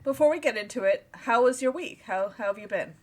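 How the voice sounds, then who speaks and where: A woman's voice: on the high side, lively intonation, brisk. A woman co-hosting a podcast, chatting casually in a playful, teasing way. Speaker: podcast co-host. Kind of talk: casual chat.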